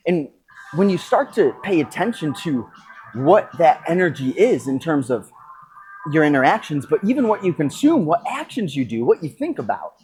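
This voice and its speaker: A man hosting a podcast solo, talking reflectively and unscripted, thinking aloud in a halting way and correcting himself. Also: fairly high pitch, big pitch swings, a little mellow, poor audio. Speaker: podcast host.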